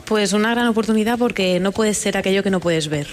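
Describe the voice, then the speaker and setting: A woman with a Spanish accent from Spain gives conversational interview answers. She is informal and didactic, with a middle-pitched voice. Faint noise and ambience sit behind her. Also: brisk. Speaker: scientist entrepreneur, award-winning guest.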